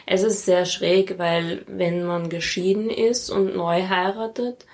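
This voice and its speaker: A woman's voice: lowish voice, fairly even intonation, takes it slowly, a little mellow. A woman talking thoughtfully and candidly in a conversational interview. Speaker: interviewee, parent in a polyamorous family.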